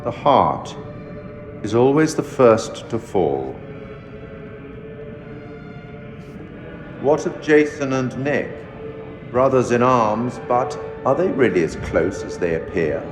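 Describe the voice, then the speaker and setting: An actor playing a mysterious, ominous curator of tales in a video game, speaking in a grave, formal, theatrical manner. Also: male voice, mid-range voice, sing-song. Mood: somber, musing. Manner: grave, deliberate, cryptic, formal. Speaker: narrator addressing the player. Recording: echoey hall with loud music behind.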